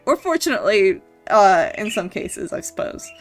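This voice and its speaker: A woman's voice: medium pitch, sing-song, moderate pace, rough recording. A young woman with a mixed, mostly Canadian-sounding accent, chatting casually on a stream with lots of ums, restarts and laughs. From Scotland. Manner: rambling, many ums and restarts, laughs often. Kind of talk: casual chat while drawing on stream. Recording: faint music behind.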